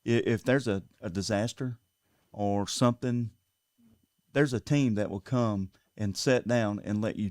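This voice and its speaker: A man's voice: mid-range voice, dry recording, studio quality. A man with a Southern US accent, running for sheriff, speaking earnestly in a casual interview and repeating words as he builds his points. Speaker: sheriff candidate. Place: local TV studio.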